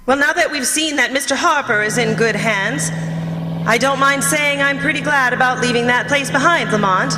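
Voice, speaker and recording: An actress playing a spirited young heroine in an old-time radio thriller, with dramatic, expressive delivery. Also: female voice, high voice, normal speed, music in the background, close to an everyday voice. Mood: relieved, wry. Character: heroine, spirited young woman companion of the hero. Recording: noisy, echoey hall with long reverb.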